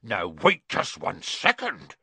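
A man voicing a huge gruff giant with a fierce but kindly temper, booming and growling in rustic dialect, in an audiobook story. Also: wildly swooping pitch, moderate pace, a bit bright, no echo, heavily acted. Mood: indignant.

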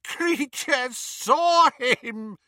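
A man voicing a bitter old male house-elf servant with a croaking voice, frantic and gulping for air. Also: very high-pitched, extremely slow, sharp tone, dry recording, clean studio sound, voice pushed up, full character voice. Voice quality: croaking bullfrog voice.

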